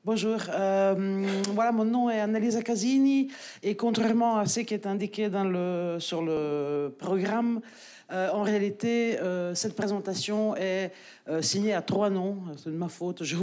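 A woman's voice: mid-range voice, measured pace, dark tone. A woman in her forties with an Italian-Belgian French accent, giving a conference talk into a handheld mic in a lecture hall, hesitant with many fillers and restarts. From Italy. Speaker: academic speaker.

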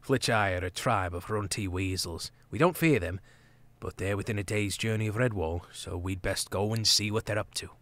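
A man voicing a calm, noble male mouse warrior with a low, steady, solemn delivery. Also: normal speed, dry recording, lightly acted.

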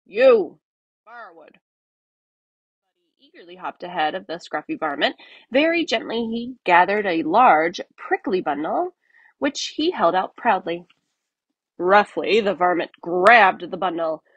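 A woman reading a children's story aloud in an expressive narrating style. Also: lowish voice, unhurried, breathy edge, no echo, highs cut off. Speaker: storybook reader.

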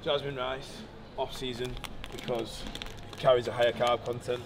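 A man's voice: medium pitch, flat delivery, moderate pace, noisy background. A young man vlogging casually in a supermarket, cheeky and jokey, with a bit of swearing. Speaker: bodybuilder vlogger. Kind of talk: casual vlog walkthrough.

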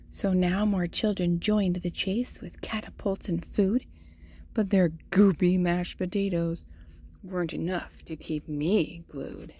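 A woman hosting a children's read-aloud, telling a picture-book story in an expressive, deliberate way for young listeners. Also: on the low side, husky. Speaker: host reading picture books aloud for children.

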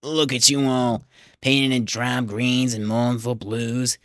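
A man voicing a haughty, loud-mouthed male steam engine who brags and huffs in a children's storybook. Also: medium pitch, level tone, slow, no room sound, clean studio sound. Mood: smug, mocking. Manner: haughty, huffy, bragging.

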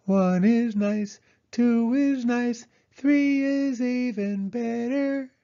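A man voicing a cheerful, eager little girl mouse with an excited singsong manner in a children's storybook. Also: extremely high pitch, very slow, smooth, lo-fi sound, pitched above the performer's own voice, heavily acted. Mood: singing sweetly.

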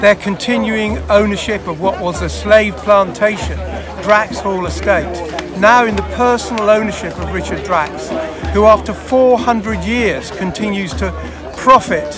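An older man speaks close to the camera at an outdoor rally, explaining earnestly and steadily. His voice sits fairly high. A crowd and clear music carry on behind him. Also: a little slow. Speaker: event organiser. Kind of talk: on-camera interview. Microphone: close camera mic.

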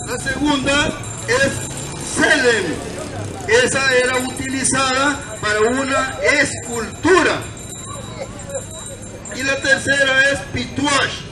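High-pitched Salvadoran man preaching from afar. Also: steady tone, over music. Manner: declamatory, in short deliberate phrases. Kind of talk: open-air sermon, explaining scripture. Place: outdoor gazebo in a public town square. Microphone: far camera. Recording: distant, echoey, noisy outdoor recording with crowd. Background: traffic and crowd noise.

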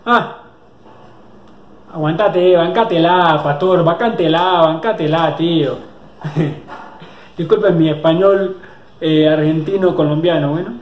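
Young male reactor, excitable and jokey, slightly high voice, roomy webcam sound. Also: takes it slowly, pauses often. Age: young adult. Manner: excitable, joking, slangy. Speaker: reaction video host. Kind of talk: casual reaction commentary. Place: small indoor room. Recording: echoey room.

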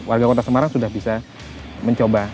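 A man's voice: mid-range voice, steady tone, fast talker, darkish tone, faint music behind. A man, explaining things as a guide and instructor. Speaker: jet ski guide and instructor. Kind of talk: explanatory interview answers. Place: outdoors on a grassy seaside shore.

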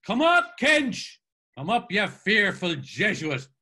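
An older man on a video call voicing a mock-solemn, theatrical young jester with a booming, husky voice. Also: huge pitch swings, slow pace, pushed and bright, full character voice. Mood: hearty. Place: home room, video call. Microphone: webcam on video call.

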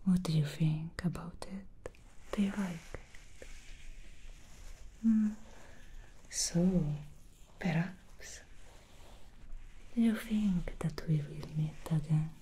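A woman voicing an alluring, scheming female demon hairstylist in an ASMR roleplay, speaking in a slow seductive whisper. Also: on the low side, mellow, a little hiss or hum, poor audio. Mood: sly whisper.

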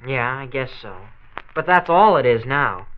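Casting: male actor as American high school senior, earnest grumbler, animated delivery, 1940s radio drama, dull old disc sound. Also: unhurried, a little hiss or hum, natural voice. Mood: glum.

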